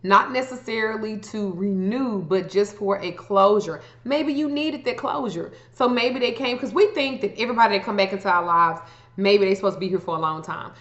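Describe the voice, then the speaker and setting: A woman talks to her viewers like a casual explainer, blunt and sweary, tossing in joking asides. Her voice sits at a medium pitch. The recording is muffled and carries a clear room echo. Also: a bit bright. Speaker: astrology vlogger giving tips. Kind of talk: casual explainer chat to camera.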